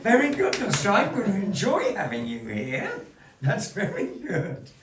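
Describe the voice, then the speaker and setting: A man's voice: high voice, huge pitch swings, unhurried, a bit bright, distant mic. Showy male puppet-show host in echoey room. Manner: showy, grandly polite, playful. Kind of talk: theatrical stage patter with a puppet fortune-teller. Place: gallery room before a live audience. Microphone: distant room mic. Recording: echoey room heard from afar.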